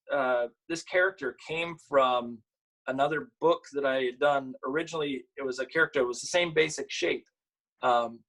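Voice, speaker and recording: A man presents picture books at a virtual storytime. His voice sits at a middle pitch with a slightly husky edge. He speaks informally, as an author and illustrator sharing his own work. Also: fairly bright tone, rough recording. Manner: informal, halting when chatting. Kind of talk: virtual storytime, reading aloud and chatting about the books.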